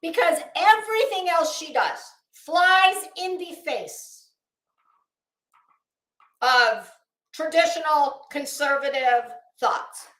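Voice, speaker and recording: A woman's voice: sits high, slow, a bit bright. An American woman livestreamer giving casual, profane commentary in a scornful, sarcastic manner, leaning hard on emphatic delivery. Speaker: online livestream host. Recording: roomy, echoing sound.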